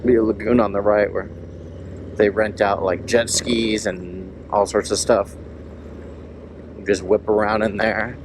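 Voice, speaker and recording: A man's voice: on the low side, level tone, measured pace, long pauses. A man casually narrating his motorcycle ride in an informal way, talking to himself and repeating phrases as he goes. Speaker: motorcycle vlogger. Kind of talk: casual narration to camera while out riding. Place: outdoors on a moving motorcycle. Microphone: helmet or action camera mic. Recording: engine and wind noise.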